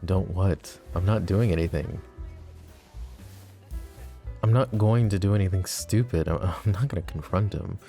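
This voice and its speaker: A man playing a deep gravelly mafia boss. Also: a little slow, long pauses, mellow, soft background music. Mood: wry. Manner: teasing and smug, turning cold and threatening. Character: possessive mafia boss, grown man, dangerous and flirty. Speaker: voice actor. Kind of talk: ASMR roleplay audio drama.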